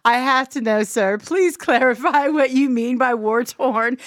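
A woman's voice: high voice, measured pace, no room sound, studio quality. A woman from Southwest Oklahoma with an Oklahoma accent, co-hosting a radio talk show in a chatty, teasing way. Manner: chatty, laughs often, teasing. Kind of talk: casual chat.

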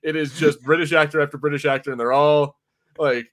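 A man's voice: on the high side, fairly even intonation, brisk, a bit bright. An American man co-hosting a podcast, chatting casually in a rambling, informal way about something he follows as a fan. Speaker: podcast co-host reviewing a TV episode. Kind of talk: casual chat, fan commentary.

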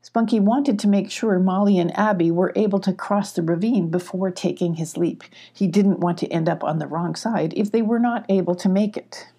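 A woman's voice: mid-range voice, moderate pace, hardly any pauses, dark tone. A woman reading a children's story aloud as an audiobook narrator.

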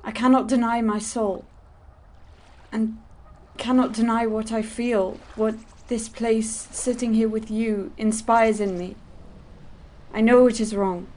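A devout young man who yearns for a holy vision, played by a woman. His voice sits fairly high and moves slowly and deliberately, with earnest, old-fashioned phrasing. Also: fairly even intonation, a little mellow. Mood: anguished. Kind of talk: archaic dramatic dialogue.

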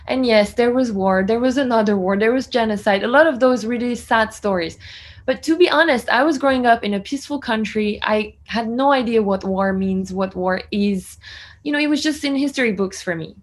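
A woman's voice: fairly high pitch, a little fast. Earnest young woman reporter in her twenties. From France. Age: 20s. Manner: earnest, reflective, fluent with "you know" fillers. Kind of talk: conversational interview answers.

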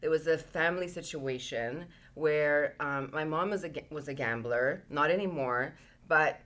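Low-voiced woman telling her story. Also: moderate pace. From Peru. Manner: relaxed and chatty, with frequent "like" fillers. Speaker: guest entrepreneur. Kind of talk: casual interview storytelling.

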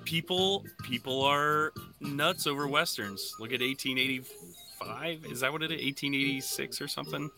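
A man's voice: medium pitch, moderate pace, vocal fry, over music. A man co-hosting a podcast, chatting casually and teasing the others in a jokey way. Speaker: podcast co-host.

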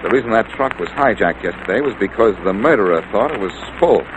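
An actor playing a cool, confident amateur detective in a 1940s radio drama, wry and needling. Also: male voice, on the low side, animated, fast, natural voice. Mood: assured, explaining. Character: cool, wisecracking amateur detective, sure of himself. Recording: noisy old-time radio recording.

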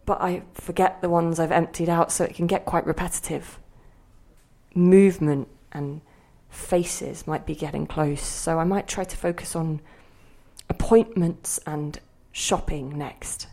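Low-voiced woman a possessive, wistful inner spirit. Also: fairly even intonation, measured pace. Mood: musing, possessive. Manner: theatrical, musing, by turns sharp and intimate. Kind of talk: audio drama monologue and dialogue.

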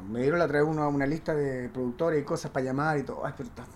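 A man with a Chilean accent talks casually as an interview guest. He is effusive and campy, with the expressive manner of a stage actor. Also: fairly high pitch, racing, crowd noise. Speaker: guest, actor and theatre director.

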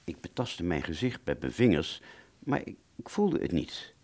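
An actor playing an anxious, confused wounded airman in a radio drama, speaking in an agitated, halting stammer. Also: deep voice, very animated, a little mellow, no room sound. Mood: uneasy. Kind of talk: Dutch radio drama, first-person narration and dialogue.

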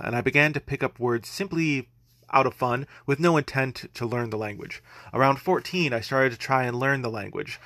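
A man in his thirties introduces himself at a medium pitch in a steady, matter-of-fact tone. The recording is dry and close, with no room sound. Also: no room sound. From United States. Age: 30s. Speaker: guest speaker. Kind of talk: prepared self-introduction.

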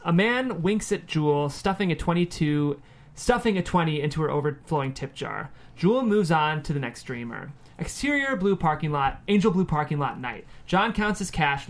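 A man with a somewhat high voice narrates a screenplay at a table read. He reads scene headings and stage directions as scripted narration. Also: expressive, moderate pace.

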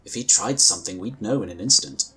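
An actor playing a calm, courteous psionic inquisitor in a sci-fi audio drama, with measured, formal phrasing. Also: male voice, fairly low pitch, husky, exaggerated voice, low-quality recording. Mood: thoughtful, reasoning.

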